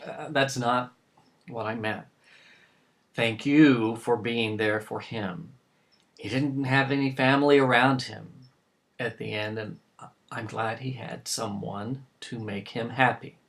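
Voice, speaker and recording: A man's voice: medium pitch, a bit bright. Man playing a stern, defensive middle-aged father. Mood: subdued. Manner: defensive, halting. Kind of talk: dramatic dialogue acting.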